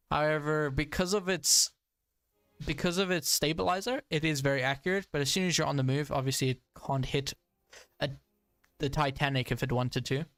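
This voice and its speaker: A man's voice: fairly high pitch, fairly bright tone, dry recording. A young man giving casual live commentary while playing a tank game, chatty with frequent fillers and frustrated asides. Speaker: gaming streamer.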